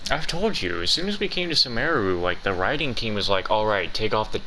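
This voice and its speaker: Male gaming streamer, low and forceful, casual joking asides, faint noise and game sound. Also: big pitch swings, fairly quick, low-quality recording. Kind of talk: casual playthrough commentary.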